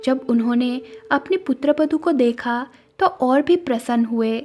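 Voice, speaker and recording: A woman's voice: high-pitched, measured pace, dark tone. A woman giving scripted narration as the storyteller of a cartoon moral story. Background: faint music.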